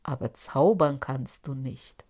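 A woman's voice: extremely low pitch, slow pace, a little mellow, pitched below the performer's own voice, full character voice. A woman voicing a defiant, sly young man in an audiobook, speaking boldly and accusingly in a raised voice. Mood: scoffing. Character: young male charcoal burner, defiant and sly. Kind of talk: audiobook character voice.

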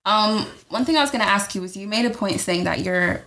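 A woman's voice: medium pitch, lively intonation, brisk, sharp tone, a little hiss or hum. A woman co-hosting a podcast in casual chat, rambling and restarting her questions often. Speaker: podcast co-host.

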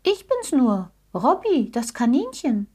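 A woman's voice: sits high, wildly swooping pitch, takes it slowly, breathy edge, voice pushed up, heavily acted. A woman voicing a small, friendly male rabbit in a children's story, delicate and reassuring. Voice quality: delicate, soft little voice. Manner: kind, reassuring.

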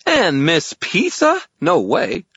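Excitable, high-pitched tiny fairy. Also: male voice, slow pace, creaky, breathy edge, dry recording, dull audio, heavily acted. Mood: excited, stubborn. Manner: shrill, exclamatory, impetuous. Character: excitable tiny male fairy, impatient and pizza-obsessed. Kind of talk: audiobook character acting.

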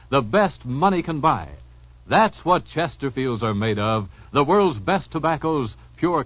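A man's voice: mid-range voice, a bit bright, no room sound. Old timey radio ad guy, formal salesman read, dry and a bit muffled. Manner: formal, emphatic sales delivery. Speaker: commercial announcer. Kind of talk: 1950s radio advertisement, scripted. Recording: dull old radio recording.

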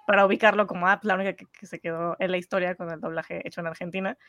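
A woman's voice: lowish voice, steady tone, rapid-fire, a bit bright, no echo, studio quality. A woman with a Mexican accent chatting casually, thinking aloud in a rambling, colloquial way. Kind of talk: casual chat.

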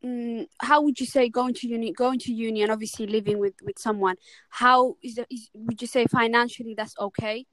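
A chatty young woman with a fairly high voice talks emphatically and keeps checking in with her listener. The sound is dry and close, with no room echo. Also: fairly even intonation, fairly quick. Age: young adult. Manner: chatty and emphatic, asks lots of questions. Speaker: student co-host. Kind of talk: casual chat.

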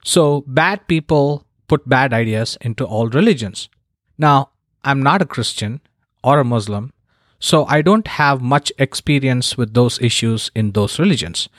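A man's voice: medium pitch, lively intonation, measured pace, no echo, clean studio sound. A man from India hosting his own podcast, delivering a scripted monologue in an earnest, emphatic way with wry asides. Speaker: podcast host.

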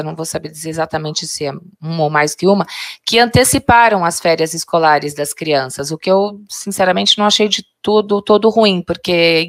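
A woman co-hosting a morning news show, recorded dry and close. She reads the news evenly and then slips into relaxed chat. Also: fairly low pitch, no echo. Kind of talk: casual news commentary.

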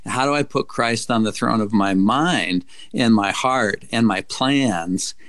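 A man, a pastor, speaking in a warm, encouraging and affirming way during a conversational discussion. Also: mid-range voice, slow pace.

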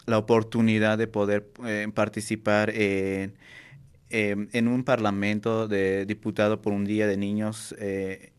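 A young man whose first language is Nahuatl, speaking in a low, steady, smooth voice with little rise and fall. He answers earnestly and pauses often, filling gaps and restarting phrases as he goes. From Mexico. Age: young adult. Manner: earnest, halting with many fillers and restarts. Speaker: Nahuatl workshop teacher and university student. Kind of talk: interview answers.

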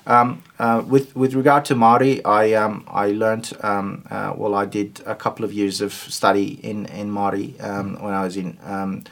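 A man whose first language is Turkish answers interview questions in a lowish voice. He talks slowly and thoughtfully, pausing often and restarting his sentences. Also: level tone, creaky, a bit bright. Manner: hesitant, many ums and restarts. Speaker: guest. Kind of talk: conversational interview.

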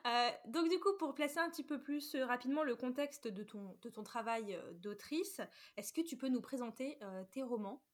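Woman podcast host, voice a bit high, friendly interview chat. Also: normal speed, few pauses.

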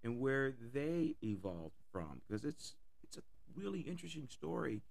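A man hosting an interview podcast, his voice hoarse from a cold, talking with enthusiasm and repeating words. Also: medium pitch, very animated, normal speed, darkish tone. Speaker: podcast host. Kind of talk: casual interview.

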